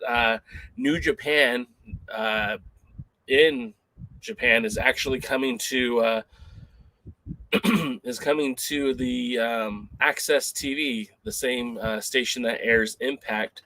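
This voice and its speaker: A man's voice: medium pitch, a bit bright, no room sound, poor audio. A man hosting a casual talk show, rambling and conversational with lots of ums and uhs. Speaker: podcast host.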